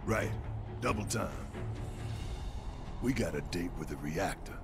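Gravelly, brash big fighter. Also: male voice, low-pitched, animated, measured pace, music in the background. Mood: determined. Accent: American. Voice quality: gruff, gravelly. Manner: brash, theatrical, slangy. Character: big gruff hot-headed male resistance fighter. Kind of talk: video game voice acting.

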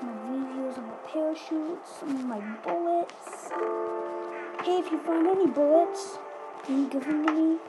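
A woman's voice: sits high, unhurried, loud music behind the voice, rough recording. A woman giving casual, playful, chatty commentary during a video game roleplay. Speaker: gaming video host.

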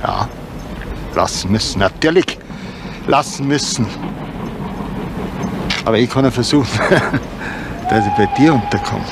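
A man's voice: medium pitch, expressive, unhurried, vocal fry, pauses often. An actor playing a talkative, rueful man confiding in a friend in a 1970s radio play. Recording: noisy old radio play recording.

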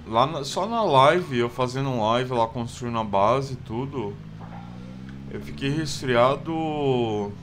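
Slow rambling Brazilian man gaming. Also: mid-range voice, noisy background. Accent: Brazilian Portuguese. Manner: relaxed rambling with many fillers. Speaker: gameplay video host. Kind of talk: casual let's play commentary.

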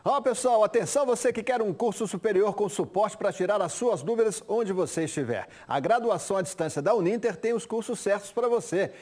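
A middle-aged man hosting a TV sports show from a studio, chatting and reading ads in a lively, conversational way. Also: sits high, faint music behind. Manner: lively, conversational, quick asides. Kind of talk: studio sports chat and live ad read.

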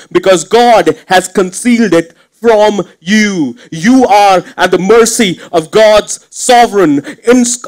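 A man in his thirties preaching a sermon on a church stage into a headset mic, earnest and swinging from quiet asides to emphatic points. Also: high voice. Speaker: pastor. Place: church stage with curtain backdrop.

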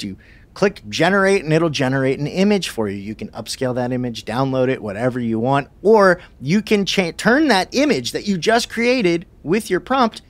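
A man in his thirties hosting a casual, conversational software tutorial, on a close broadcast mic in a small studio. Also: fairly high pitch. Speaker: video tutorial host. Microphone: close broadcast mic on boom arm.

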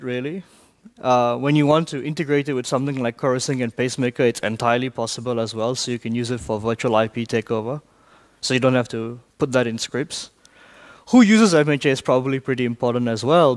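A man from Malaysia giving a technical talk in a large conference hall, briskly quizzing the audience. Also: mid-range voice. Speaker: conference speaker.

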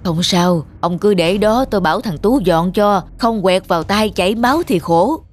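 A woman voicing a kindly, calm older male antique collector in a moral folk-tale cartoon, measured and gently instructive. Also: medium pitch, lightly acted. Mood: gracious, calm.

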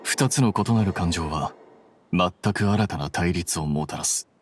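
An actor playing a coolly rational young scholar in a video game, explaining things in a measured, detached way. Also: male voice, low-pitched, background noise. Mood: cool, composed. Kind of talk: Japanese video game voice acting.

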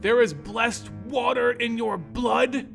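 Man putting on a cruel, slow, gloating vampire lady. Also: very high-pitched, creaky, fairly bright tone, faint music behind, voice pushed up, exaggerated voice. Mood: screaming disbelief. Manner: menacing, gloating, drawn-out delivery. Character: cruel, sneering female vampire lord.

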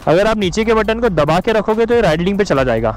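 Male Indian-accented motovlogger, higher register, step-by-step how-to delivery over music. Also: expressive, quick pace. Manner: explanatory, mixes English terms into Hindi. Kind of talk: tutorial vlog to camera and voice-over. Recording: music behind the voice. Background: background music.

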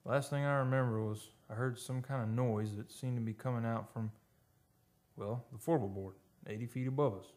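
An actor playing a folksy, uneasy oil-rig worker telling an eerie tale in old-time radio drama style, halting and ungrammatical. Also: male voice, medium pitch, steady tone, a little mellow, close to an everyday voice. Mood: haunted, hushed. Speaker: actor narrating a radio play. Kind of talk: old-time radio drama acting, first-person storytelling. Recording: live radio studio with music behind.

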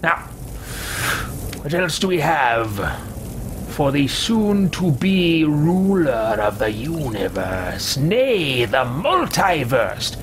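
A man's voice: fairly high pitch, very animated, noisy background, exaggerated voice. Man playing a pompous evil sorcerer, wildly swooping delivery. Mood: boastful. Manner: pompous, theatrical, slow deliberate phrasing. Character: haughty, megalomaniac male evil sorcerer, contemptuous and grandiose. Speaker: streamer. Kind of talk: in-character video game roleplay commentary. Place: small home room with shelves, indoors. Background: video game ambience.

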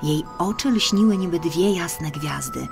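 A woman narrating a children's fairy tale as an audiobook reader, expressive and deliberate, over music. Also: fairly low pitch, creaky.

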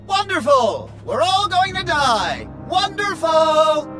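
A man's voice: very high-pitched, very animated, slow pace, heavily acted. An actor voicing a prim, fretful male protocol droid in a video game, with a dramatic, fussy manner. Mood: panicked. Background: game music.

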